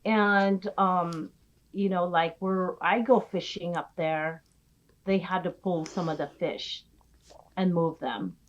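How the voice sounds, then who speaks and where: A woman from the Philippines talking as a podcast guest, rambling warmly with many fillers. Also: fairly low pitch, steady tone, slow pace, dull audio. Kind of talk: casual interview.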